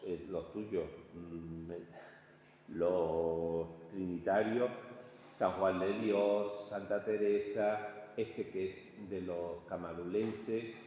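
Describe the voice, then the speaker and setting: A man with an accent from Spain gives an informal art history lecture, halting and conversational, often checking in with his listeners. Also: low voice, warm tone. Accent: Spanish from Spain. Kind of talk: informal illustrated lecture to an audience. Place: large reverberant indoor room. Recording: echoey hall, distant muffled sound, faint noise.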